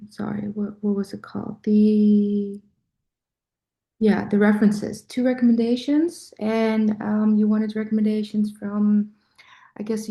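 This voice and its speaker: A woman asking hesitant questions as a prospective student on a video call, with many pauses and fillers. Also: mid-range voice, muffled recording. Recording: echoey room on a video call.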